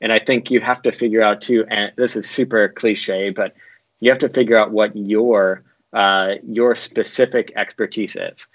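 A man's voice: fairly low pitch, steady tone, moderate pace, dry recording. A young man from North America, a video editor, talking casually and a bit ramblingly as an interview guest.

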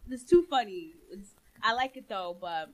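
A woman on a podcast chatting casually, laughing often as she tells animated stories. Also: medium pitch, moderate pace, rough recording. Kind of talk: casual chat.

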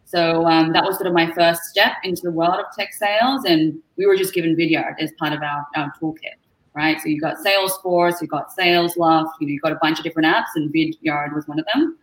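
A woman's voice: on the low side, poor audio. A woman with an Australian accent, chatting as an upbeat guest on an interview show, with lots of fillers. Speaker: guest, partner manager. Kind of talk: casual interview chat.

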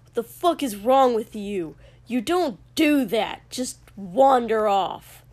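A sharp, gravelly young man played by a woman narrator in an audiobook story. He is furious and scornful, barking and swearing dismissively at whoever is in front of him. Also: on the high side, animated, slow pace, dry recording.

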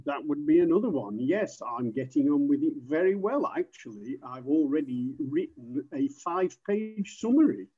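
A man with a British English accent, a native speaker giving example sentences in a measured, slightly formal way. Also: fairly high pitch, expressive, dark tone, breathy edge. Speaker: podcast guest, native speaker. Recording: muffled, slightly lo-fi sound.

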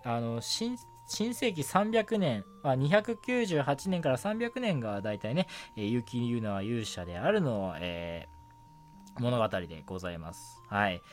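A young man hosting a casual solo radio show, talking in a halting way as he thinks aloud. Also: medium pitch, big pitch swings. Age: young adult. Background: background music.

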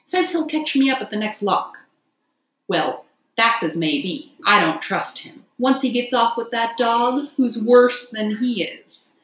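A woman's voice: on the high side, expressive, measured pace, fairly bright tone, echoey room, pitched above the performer's own voice. A woman voicing a big, hearty working barge woman from a storybook, polite, plain-spoken and chatty. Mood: chatty grumbling.

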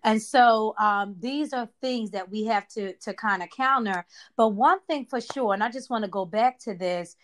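A woman's voice: fairly high pitch, normal speed, slight background noise. A woman co-hosting a casual chat, making her points emphatically and drawing responses in a preachy call-and-response way.

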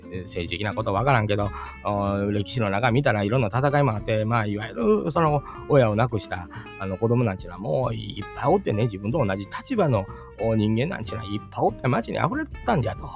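A middle-aged man with a Kansai accent talks through a fairy tale, musing aloud and tossing questions to his listeners. His voice is gravelly and his pitch rises and falls a lot. Music plays clearly behind him. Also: animated, fast talker, hardly any pauses. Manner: musing, chatty, rhetorical asides to listeners. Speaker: storytelling channel host. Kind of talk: casual spoken commentary on a fairy tale.

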